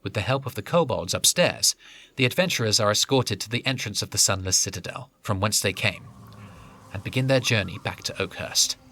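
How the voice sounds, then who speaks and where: A man's voice: fairly low pitch, animated, a little fast, bright, forceful tone. A man giving scripted narration as the storyteller of a fantasy audio drama.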